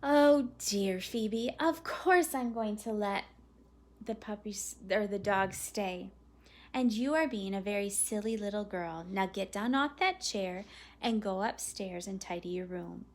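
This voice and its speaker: A woman's voice: mid-range voice, unhurried, close to an everyday voice. A woman voicing a patient, practical mother in a storybook, kind but firm and reasonable.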